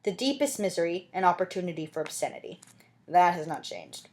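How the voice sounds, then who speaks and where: A woman's voice: on the low side, lively intonation, fairly quick, darkish tone. A woman reading a classic novel aloud as an amateur audiobook narrator, with dramatic scripted delivery.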